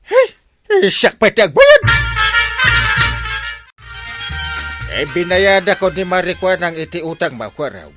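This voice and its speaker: A man playing an excitable, scolding village captain in an Ilocano-accented comedy radio drama, full of exclamations. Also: high-pitched, normal speed, music in the background. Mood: indignant. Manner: exclamatory, starts phrases with "eh".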